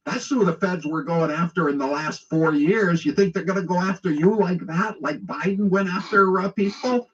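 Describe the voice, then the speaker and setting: Sarcastic american guy ranting like a talk radio host, kinda high voice, dull lo-fi sound. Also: normal speed, hardly any pauses, recorded from a distance. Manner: sarcastic, mocking. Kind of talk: opinionated conversational radio monologue.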